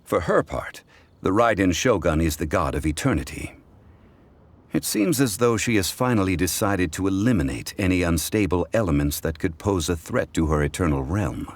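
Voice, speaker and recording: An actor playing a calm, dignified ancient god in human guise for a video game, explaining in a measured, formal, scholarly way. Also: male voice, deep voice. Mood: solemn.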